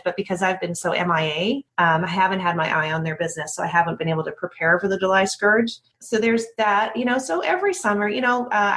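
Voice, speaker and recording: A woman's voice: mid-range voice, a bit bright. A woman being interviewed, talking casually in anecdotes with a candid, informal and self-deprecating manner. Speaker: interview guest, speaker agency founder.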